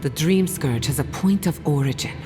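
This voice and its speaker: A woman's voice: sits low, normal speed, close to an everyday voice. A woman playing a confident, wry, adventurous scholar and guide in a video game, explaining things articulately. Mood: intrigued. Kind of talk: scripted video game acting. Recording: reverberant hall under loud music.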